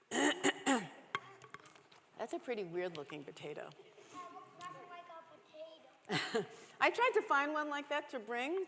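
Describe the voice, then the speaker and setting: Expressive woman storyteller in an echoing church. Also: sits high, extremely slow, pauses often, noisy background. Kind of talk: expressive read-aloud children's story. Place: large church sanctuary, indoors. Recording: echoey church hall, long reverb.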